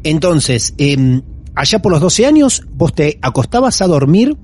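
An Argentine man hosting a paranormal story show, questioning the guest with quick short backchannels. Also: a little high, very animated, a little mellow, slightly rough. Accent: Argentine Spanish. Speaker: host. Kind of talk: casual interview.

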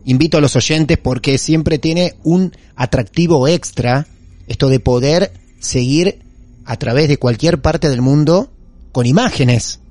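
A man's voice: on the high side, measured pace, a little mellow, no room sound. A man with an Argentine accent hosting a paranormal podcast, talking in a theatrical, welcoming way and reassuring his caller. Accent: Argentine Spanish. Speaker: podcast host.

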